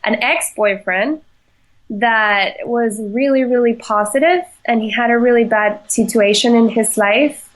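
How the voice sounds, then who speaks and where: A young woman talks slowly and unhurriedly, pausing on fillers and restarting her sentences. Her voice sits a little high, and she keeps a relaxed, easygoing tone as a guest on a chat podcast. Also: rough recording. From Venezuela. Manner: halting, many fillers and restarts, laughs easily. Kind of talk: casual interview answers.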